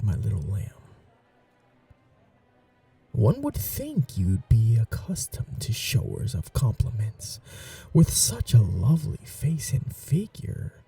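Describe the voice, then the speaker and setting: A slow, seductive ancient vampire lord, played by a man in an ASMR roleplay, his low voice dark, gravelly and breathy with soft whispers. It sounds a little distant from the mic, with faint music behind, and his pitch rises and falls in lively swings. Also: heavily acted. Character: ancient royal vampire lord, suave, predatory, flirtatious.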